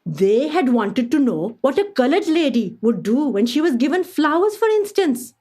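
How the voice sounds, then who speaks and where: An actress playing a refined, amused Indian woman traveller of the early twentieth century, telling her memoir in a formal, expressive storytelling manner for a radio play. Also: high voice, moderate pace. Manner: expressive, formal, literary phrasing.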